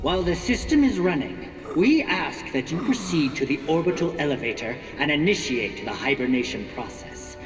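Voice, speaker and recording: An actor voicing a lofty, propagandistic corporate leader making a grand speech to colonists in a video game. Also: male voice, fairly high pitch, big pitch swings, moderate pace, few pauses, recorded from a distance. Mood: lofty, assured. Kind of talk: scripted video game acting, broadcast speech. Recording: echoey hall reverb, music behind, from afar.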